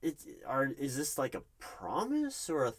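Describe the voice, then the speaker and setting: A man's voice: mid-range voice, wildly swooping pitch, a little slow, heavily acted. A man playing a nervous, polite recruiting manager in a one-sided ASMR job interview roleplay, speaking hesitantly with awkward professionalism. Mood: uneasy, flustered. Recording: clear background noise with keyboard typing. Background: loud keyboard typing and clicks.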